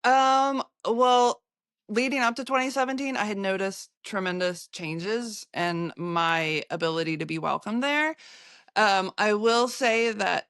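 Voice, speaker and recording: An American woman, a photographer, recounting her travels in an interview, halting often with fillers and restarts. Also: medium pitch, a bit bright, smooth, dry recording, clean studio sound. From United States.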